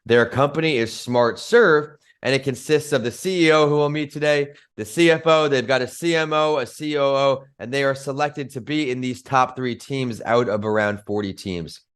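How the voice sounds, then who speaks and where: A man's voice: medium pitch, normal speed, hardly any pauses, fairly bright tone, clear, resonant tone. A man hosting a business podcast, reading upbeat scripted introductions and bringing on guests. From northern United States. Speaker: podcast host.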